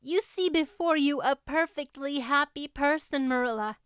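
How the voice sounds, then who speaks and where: A woman voicing an excitable, talkative young girl with a gushing, dramatic, flowery manner in an audiobook. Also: high voice, moderate pace, no room sound, studio quality, pitched above the performer's own voice. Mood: blissful, dramatic. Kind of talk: audiobook character voice.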